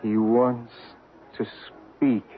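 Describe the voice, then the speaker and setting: A thin, noisy radio recording carries an earnest male medium. He speaks slowly and haltingly, in the measured style of vintage mystery drama. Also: mid-range voice, level tone. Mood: entranced. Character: hushed, earnest male spirit medium and photographer named David. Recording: noisy, phone-like old radio broadcast recording.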